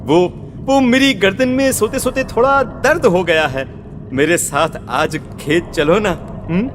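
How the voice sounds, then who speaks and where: A man voicing a creepy, possessed village husband in a horror story, wheedling and amorous, with halting words and questioning hums. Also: high voice, background noise. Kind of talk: horror audio story acting. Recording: long reverb with music behind.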